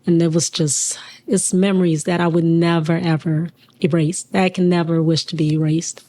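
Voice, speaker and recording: A woman in her forties, originally from Liberia, speaks as an interview guest in a somewhat low voice. She talks earnestly and in measured phrases, pausing to gather her thoughts. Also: level tone, warm tone. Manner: earnest, measured, pauses mid-sentence. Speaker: guest, business owner. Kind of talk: reflective personal interview.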